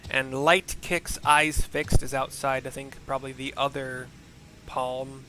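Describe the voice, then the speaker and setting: A man hosting a gaming video, chatting casually in a halting, rambling way. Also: mid-range voice, flat delivery, noisy background. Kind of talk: casual chat over gameplay.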